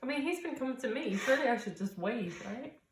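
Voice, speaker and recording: A woman's voice: on the high side, animated, quick pace, background noise. A woman playing a board game, playful and asking about the rules. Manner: playful, asks rule questions. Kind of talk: casual board game playthrough chat. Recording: echoey room.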